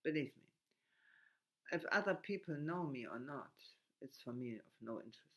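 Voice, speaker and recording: A man from Germany with a German accent, speaking haltingly in a reflective monologue with frequent restarts and broken grammar. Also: medium pitch, expressive.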